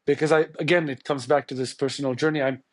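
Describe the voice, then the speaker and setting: Male journalist guest, Tamil first language, from India; musing, halting delivery, dry studio sound. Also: mid-range voice, fairly even intonation, dry recording. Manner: thoughtful, searching, many restarts and fillers. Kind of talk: podcast interview answer.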